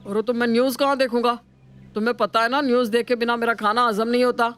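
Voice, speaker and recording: A man voicing a thrifty, easily exasperated older father-in-law in an animated moral story, with a scolding, exclamatory manner. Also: very high-pitched, quick pace, clean tone. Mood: irritated.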